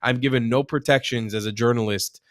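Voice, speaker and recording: A man's voice: mid-range voice, fairly even intonation, a little fast, no room sound, studio quality. A man from Israel, a journalist guest on a video call, talking passionately and arguing with rhetorical questions. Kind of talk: casual interview chat.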